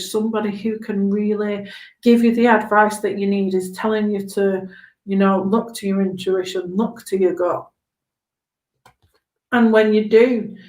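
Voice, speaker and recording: Slow-paced woman reading tarot, roomy sound. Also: medium pitch. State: hoarse after long talking. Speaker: tarot reader hosting a live stream. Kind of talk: spontaneous live tarot reading, conversational. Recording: roomy, echoing room sound.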